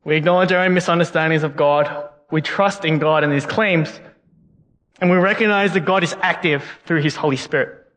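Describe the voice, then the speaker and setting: A man's voice: on the high side, brisk, fairly bright tone. Earnest young man preaching in a reverberant hall. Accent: non-Canadian English, unplaced. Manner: earnest, frequent word repeats. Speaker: guest preacher. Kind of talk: sermon. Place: church hall.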